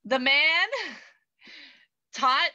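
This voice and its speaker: A woman's voice: sits high, drawn-out words, bright, forceful tone, lo-fi sound. A woman narrating an improvised story with an amused storybook delivery.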